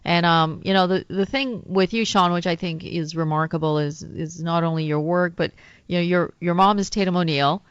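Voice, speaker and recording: A man's voice: fairly high pitch, fairly even intonation, moderate pace, clear, resonant tone, no echo, highs cut off. A man from Bangladesh hosting an interview, full of praise for his guest. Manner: warm praise, many fillers and restarts. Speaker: radio show host.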